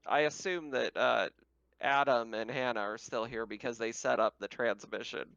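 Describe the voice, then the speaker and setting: A man's voice: mid-range voice, fairly even intonation, moderate pace, vocal fry, fairly bright tone, clean tone. A man casually narrating and refereeing a tabletop game as game master. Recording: slightly dull, lo-fi mic.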